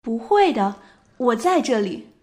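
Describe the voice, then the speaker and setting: A woman's voice: a little high, very animated, a little slow, a little mellow. A woman acting a caring bedside companion in a radio play, pleading and reassuring. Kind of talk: amateur radio drama reading of a stage play.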